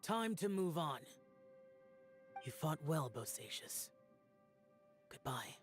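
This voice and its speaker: A man's voice: fairly high pitch, big pitch swings, takes it slowly. A young immortal warrior from a video game, solemn and burdened. He speaks in terse, formal phrases over faint music. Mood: mournful.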